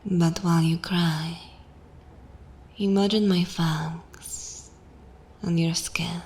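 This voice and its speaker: A woman playing a seductive, cruel and jaded vampire mistress in a dark fantasy ASMR roleplay, speaking breathily in slow, commanding, theatrical phrases. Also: fairly low pitch, steady tone. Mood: seductive menace. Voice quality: breathy whisper.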